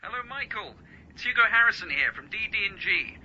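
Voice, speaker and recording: Radio comedy: tentative adman on telephone, fast, higher-pitched, filtered phone sound. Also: animated. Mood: politely pressing. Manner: tentative, politely pressing. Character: polite, anxious ad agency man on the phone. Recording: thin, slightly noisy phone-call sound.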